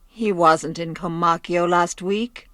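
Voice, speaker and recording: A woman's voice: fairly low pitch, fairly even intonation, slow, fairly bright tone. A woman playing a long-suffering, middle-aged working mother in a radio drama, narrating and speaking in scenes. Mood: concerned.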